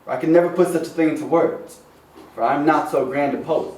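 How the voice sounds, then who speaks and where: A man with a fairly high voice recites slam poetry with fervent, rhythmic phrasing. He leans on dramatic pauses and rhyme. The sound is echoey, picked up some way from the microphone in a live venue. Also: darkish tone, far from the mic. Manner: impassioned, dramatic pauses, rhythmic rhyming delivery. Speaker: slam poet. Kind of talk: performed slam poetry at an open mic. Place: open mic venue with a small responsive audience.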